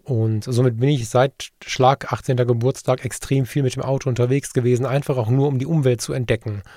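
Earnest German podcast host, dry sound. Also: male voice, mid-range voice, steady tone, a little fast, mellow, dry recording. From Germany, Ruhr area region. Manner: reflective, earnest storytelling. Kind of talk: casual conversation.

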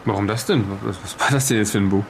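A man's voice: fairly low pitch, fast talker, slight background noise, close to an everyday voice. A man playing an inquisitive questioner, probing in a colloquial way in an improvised audio drama. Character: inquisitive male questioner probing a strange event.

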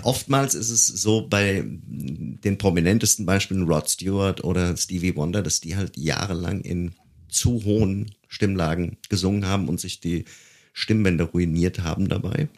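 Casting: male podcast talker, somewhat deep, explains in a conversational, searching way. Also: moderate pace, darkish tone. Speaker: podcast speaker knowledgeable about singing. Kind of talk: casual explanatory chat.

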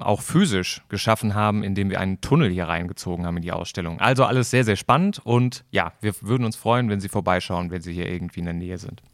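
Casual low-voiced man, dry close recording. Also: no room sound. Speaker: podcast co-host and exhibition presenter. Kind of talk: semi-scripted conversational audio play.

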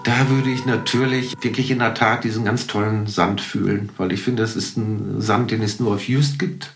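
A man's voice: on the low side, steady tone, moderate pace, soft background music. A man chatting casually and enthusiastically as a podcast guest, telling anecdotes. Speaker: musician. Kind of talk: casual interview chat. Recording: roomy, echoey sound.

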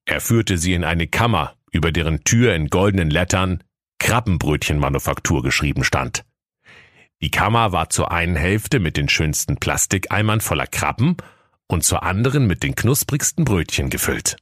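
Guy narrating a funny fairytale, deep voice, fake-serious, dry close studio sound. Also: animated, normal speed, fairly bright tone, no room sound. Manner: mock-solemn. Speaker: storyteller. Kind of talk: comic fairy-tale narration.

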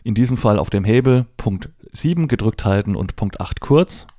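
Mellow low-voiced man giving a tutorial. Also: expressive, normal speed, dry recording, studio quality. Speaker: presenter demonstrating assistive technology. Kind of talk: hands-on spoken tutorial, unscripted step-by-step explanation.

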